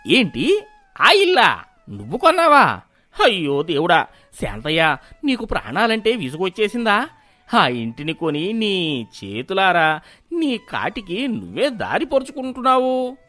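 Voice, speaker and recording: A man voicing a sly, jealous village schemer in a cartoon moral story, theatrical and ominous with drawn-out emphasis. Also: high voice, huge pitch swings, pushed and bright, heavily acted. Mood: mock alarm.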